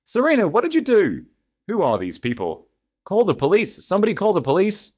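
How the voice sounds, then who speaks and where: A man's voice: sits high, huge pitch swings, brisk, darkish tone, voice pushed up, exaggerated voice. A man voicing a panicked, arrogant husband in an audiobook drama, frantic and pleading. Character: panicked arrogant husband, a businessman losing his nerve.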